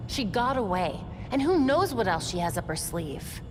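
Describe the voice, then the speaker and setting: A woman's voice: medium pitch, big pitch swings, normal speed, noisy background, lightly acted. An actress voicing a young female vigilante hero who talks dry and sarcastic. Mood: wry. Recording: game cutscene with reverb and music.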